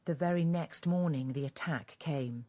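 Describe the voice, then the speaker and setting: A woman narrating a radio drama in a measured, scripted storytelling manner. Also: low voice, mellow.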